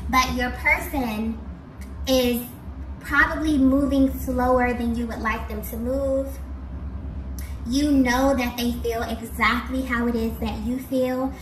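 A woman with a fairly high voice delivers an intuitive tarot reading directly to the listener. She is earnest and breaks her phrases up with small check-ins. The recording is echoey, with clear background noise. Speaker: tarot reader. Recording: roomy, distant mic, clear background noise.

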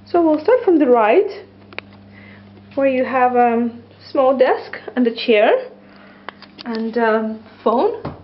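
A woman in her thirties, walking a camera through a small loft flat and describing it with frequent ums and hesitations. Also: high voice, slow, pauses often, dull audio, a little hiss or hum. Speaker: property tour presenter. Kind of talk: walkthrough tour narration. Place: small loft studio apartment, indoors. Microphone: camera mic, handheld camera.

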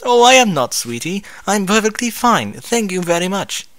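Guy doing a worried posh mom pony, high voice, swoopy and dramatic. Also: big pitch swings, moderate pace, fairly bright tone, no echo. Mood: feigned calm. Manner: anxious, fussy, dramatic. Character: refined, fretful female pony, anxious new mother.

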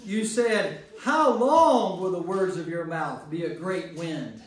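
A man's voice: high voice, expressive, takes it slowly. A man leading a Bible study for a group, teaching emphatically and repeating phrases for emphasis. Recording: very echoey room, distant mic, faint noise.

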